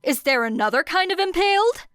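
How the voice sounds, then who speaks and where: Woman acting a flustered man with high, swooping pitch. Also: expressive, a little fast, fairly bright tone, dry recording, studio quality. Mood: shocked, flustered. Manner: spluttering, exclamatory, protesting. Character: flustered, excitable, dramatic man, a hero teacher. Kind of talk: audiobook character acting.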